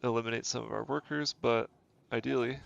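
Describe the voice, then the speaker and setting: A man's voice: medium pitch, steady tone, a little fast, creaky, a bit bright. A man, a game streamer with a stuffy nose from a cold, thinking aloud and correcting himself in easygoing, casual gameplay commentary. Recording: dull lo-fi stream audio. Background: faint game music.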